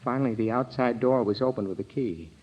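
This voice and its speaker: An actor playing an overwrought, stammering ex-convict bent on revenge in an old-time radio crime drama. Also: male voice, medium pitch, brisk, slight background noise, lightly acted. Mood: tense, confessing. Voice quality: nervous, broken laughter. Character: hysterical wronged male ex-convict, desperate and vengeful. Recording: dull vintage radio recording.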